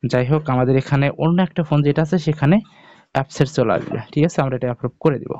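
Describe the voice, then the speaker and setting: A man's voice: medium pitch, very fast, dark tone, dull audio. A man hosting an informal step-by-step app tutorial, speaking directly to viewers and checking in with them often. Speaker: tutorial video host.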